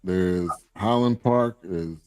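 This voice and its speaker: Low mellow laid-back man, dry sound. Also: slow pace, no echo. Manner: laid-back, stammers on restarts, chuckles often. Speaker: podcast co-host. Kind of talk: casual chat.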